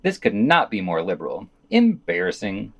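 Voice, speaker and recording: A man's voice: on the low side, huge pitch swings, normal speed. A man hosting a podcast, reading scripted news commentary and quotations with dry, opinionated asides.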